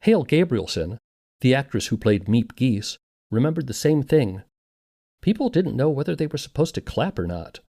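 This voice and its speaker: A man's voice: medium pitch, sing-song, normal speed, vocal fry, warm tone, dry recording, studio quality. A man hosting and narrating a documentary podcast from a script.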